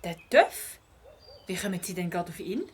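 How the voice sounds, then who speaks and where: A woman playing a spirited, curious schoolgirl detective in a children's audio play, lively and inquisitive, with a Swiss German accent. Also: lowish voice, normal speed, a little hiss or hum, natural voice.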